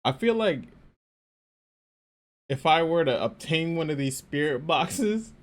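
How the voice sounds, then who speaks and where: A man's voice: on the high side, animated, slow. A man chatting off the cuff as a reaction host, slangy and sweary, repeating words for emphasis. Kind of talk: casual off-the-cuff reaction chat.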